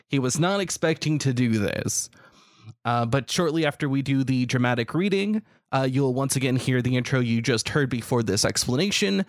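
A man's voice: mid-range voice, dry recording, clean studio sound. A man co-hosting a podcast in casual chat, enthusiastic and free with swearing. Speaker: podcast co-host.

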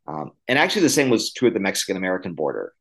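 A man with a fairly low voice, a guest on a podcast who grew up in the Midwest. He talks loosely, with plenty of "um" and "like," speeding up and then slowing down as he thinks. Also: big pitch swings, creaky. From Midwest, Illinois. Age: millennial. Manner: conversational, many fillers, uneven pace. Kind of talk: podcast interview.

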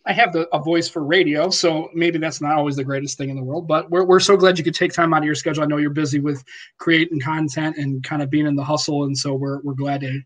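A man hosts a casual podcast, his voice sitting a little high and his speech rushing along. He asks his questions in a rambling way, restarting his sentences as he goes. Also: steady tone, a bit bright. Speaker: podcast host. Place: home room lit by daylight.

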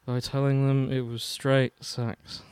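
A man's voice: medium pitch, flat delivery, takes it slowly, a little mellow, clean tone, dry recording. A man co-hosting a podcast, reading fan fiction aloud with sarcastic asides and casual swearing. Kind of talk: casual chat with dramatic reading.

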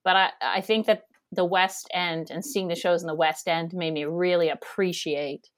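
Casting note: Canadian woman in her thirties, interview guest, loud nasal voice, chatty and rambling, lower voice. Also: fairly even intonation, normal speed. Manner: chatty, rambling, laughs often. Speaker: guest, theater education director. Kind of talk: casual interview conversation.

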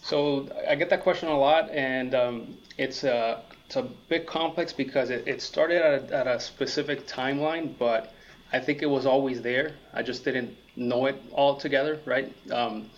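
Man, from Puerto Rico, medium pitch, casual storytelling, interview guest, slightly dull recording. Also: fairly even intonation, normal speed. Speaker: interview guest, car enthusiast. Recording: dull lo-fi audio.

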